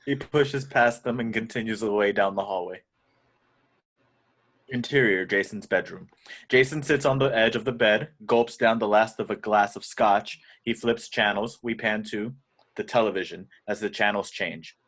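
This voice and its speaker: Male narrator, fairly low pitch, reads screenplay action descriptions for an amateur table read. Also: normal speed, fairly bright tone. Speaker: narrator reading stage directions.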